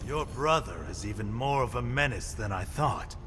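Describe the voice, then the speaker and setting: An actor playing a grave, cautionary ally in a video game, speaking in a serious, deliberate manner. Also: male voice, medium pitch, animated, noisy background, lightly acted. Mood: questioning. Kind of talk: video game cutscene acting. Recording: long reverb, music behind.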